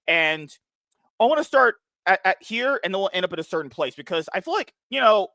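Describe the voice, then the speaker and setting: A man hosting a podcast, talking in a fast, excitable, profane and rambling way as he chats with a guest. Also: high-pitched, dry recording. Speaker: podcast host. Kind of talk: casual interview chat.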